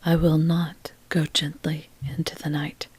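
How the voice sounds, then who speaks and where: A woman playing a fierce, defiant mother with psychic power in a horror audiobook, whispering with a quiet growl through clenched teeth. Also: deep voice, flat delivery, unhurried, husky, heavily acted. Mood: defiant, threatening.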